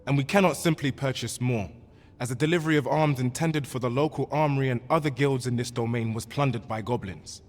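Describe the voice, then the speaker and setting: An actor playing a courteous guild clerk in a fantasy game, speaking formally and apologetically in old-fashioned phrasing. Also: male voice, medium pitch, fairly quick, lightly acted. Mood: apologetic, formal. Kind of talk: scripted fantasy game dialogue. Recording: long hall reverb, noisy ambience behind.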